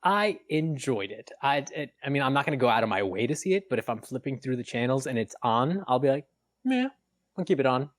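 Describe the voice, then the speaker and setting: A man's voice: medium pitch, lively intonation, brisk, no echo, studio quality. A man co-hosting a podcast in casual conversation, informal and often thinking aloud. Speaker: podcast co-host. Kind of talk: casual panel chat about games and films.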